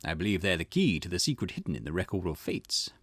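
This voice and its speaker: A man's voice: low-pitched, sing-song, fast talker, fairly bright tone, dry recording, clean studio sound, pitched below the performer's own voice, heavily acted. A man voicing an eager, earnest and inquisitive junior detective in a visual novel, deferring to a boss. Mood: hesitant, earnest. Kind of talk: reading visual novel dialogue in character.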